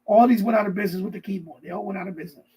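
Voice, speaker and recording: A middle-aged man hosting a casual live stream, rambling and often repeating himself, with a husky voice. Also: high-pitched, rapid-fire, mellow, slight background noise. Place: basement.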